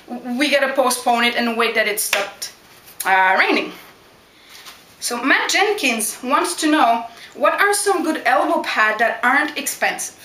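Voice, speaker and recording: Bright-voiced young woman, chatty. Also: high-pitched. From Montreal, Quebec, Canada. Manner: chatty, direct, keeps asking "you know what?". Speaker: backyard wrestler. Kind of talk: casual Q&A vlog. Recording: echoey room.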